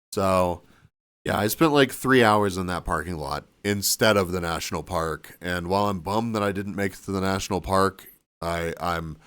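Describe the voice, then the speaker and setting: A man with a deep, low voice talks loosely to his live stream chat, rambling and trailing off. He sounds sniffly and a little hoarse, like he's losing his voice. The sound is dry and close. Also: fairly bright tone, no echo. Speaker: livestreamer. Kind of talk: casual chat with stream viewers. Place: cabin room.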